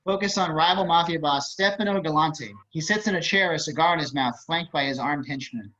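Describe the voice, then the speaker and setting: A man's voice: fairly high pitch, a little fast, pushed and bright. A man narrating at a table read, reading out screenplay stage directions over a video call. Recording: slightly dull video-call audio.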